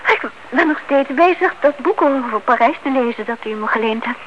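Sensible niece, fairly high voice. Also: female voice, lively intonation, normal speed. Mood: friendly. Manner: soothing, practical, polite. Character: sensible, patient niece, a working woman. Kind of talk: Dutch radio play acting. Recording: dull, hissy old radio recording.

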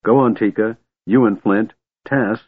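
A man's voice: lowish voice, fairly even intonation, measured pace, narrow, tinny audio, voice pushed up. A man voicing an exasperated, commanding half-elf adventurer in an audiobook, snapping impatiently. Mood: urgent.

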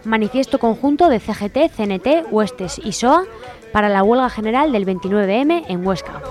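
A woman's voice: a little high, lively intonation, moderate pace. Spanish woman reading headlines over music. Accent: Spanish, from Spain. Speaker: radio co-host reading headlines. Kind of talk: community radio news headlines. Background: background music.

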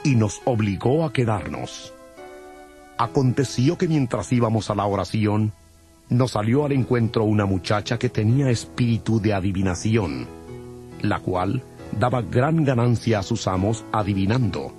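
A man's voice: lowish voice, moderate pace, darkish tone. A man narrating a dramatized Bible from a script. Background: music.

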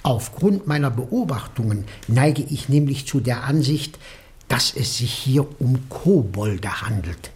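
An actor playing an elderly, hesitant, absent-minded English country priest in a German radio drama. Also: male voice, mid-range voice, expressive, a little slow, a little mellow, close to an everyday voice. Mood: flustered, eager. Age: older. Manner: hesitant, rambling, repeats himself, absent-minded.

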